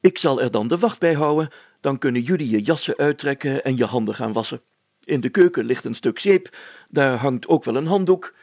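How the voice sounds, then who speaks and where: A man's voice: medium pitch, lively intonation, moderate pace, darkish tone, no room sound, pitched above the performer's own voice. A man voicing a pompous, flustered burgomaster in a story, with blustering, old-fashioned formal speech. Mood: jovial, commanding. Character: pompous, flustered male burgomaster and police chief.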